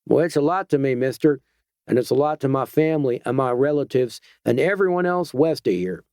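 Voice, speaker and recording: A man's voice: medium pitch, expressive, brisk, darkish tone, no room sound, studio quality, voice pushed up, lightly acted. A man voicing a patient, menacing rural male vigilante with a twangy Georgia accent, in an audiobook. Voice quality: twangy, drawling, sniffing.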